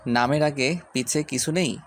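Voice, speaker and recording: A man playing a caring, gently bossy young neighbour in an audio story, speaking in a measured, advising way. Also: medium pitch, soft background music, close to an everyday voice. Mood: calm, instructing.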